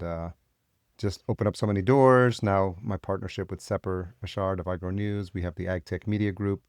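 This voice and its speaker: A man's voice: deep voice, a little mellow, no room sound. A man hosting an interview podcast, asking questions in an upbeat, chatty way. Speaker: podcast host.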